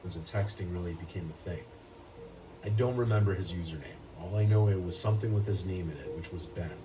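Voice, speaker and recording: A man delivers scripted first-person horror narration in a very low voice. The sound is distant, as if played back across a room, with clear noise and ambience around it. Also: a little fast. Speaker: scary story narrator. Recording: playback heard from afar, noisy.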